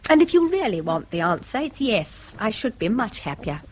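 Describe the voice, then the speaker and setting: An actress playing a weary, exasperated middle-class housewife in mid-century British radio drama, with a brisk, clipped Received Pronunciation accent. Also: female voice, fairly high pitch, very animated, a little mellow, a little hiss or hum, rough recording. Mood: fed up, complaining. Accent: English Received Pronunciation.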